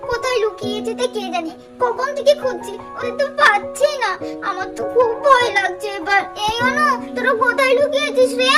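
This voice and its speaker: A woman voicing bossy, excitable little doll children in a cartoon story, chatty and insistent, repeating phrases. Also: very high-pitched, fairly quick, voice pushed up. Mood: scared. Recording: loud music behind the voice. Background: children's music.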